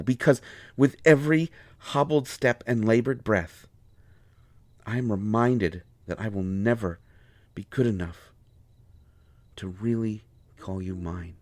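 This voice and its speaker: A man playing a proud, hurt, lovestruck healer in an intimate audio roleplay, speaking earnestly and haltingly with deep feeling. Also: no room sound, natural voice. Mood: anguished, devoted. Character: proud, wounded, lovelorn male healer with a limp.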